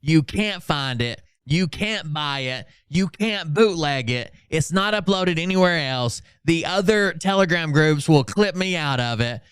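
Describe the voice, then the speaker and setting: A man hosting a livestream with casual, sarcastic commentary, blunt and quick to swear. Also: on the high side, lively intonation, a bit bright, clear, resonant tone, no room sound. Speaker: livestream host.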